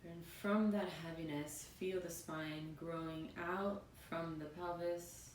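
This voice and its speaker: Low-voiced woman guiding meditation in a roomy space. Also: steady tone. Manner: soothing, unhurried phrases with pauses. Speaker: yoga and meditation teacher. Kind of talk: guided meditation, instructing. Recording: roomy, distant mic, faint noisy rumble.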